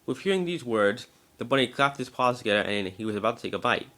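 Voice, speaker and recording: A man's voice: medium pitch, expressive, fast talker, sharp tone. A man narrating a scripted story as an amateur dramatic reading, now and then stumbling over words.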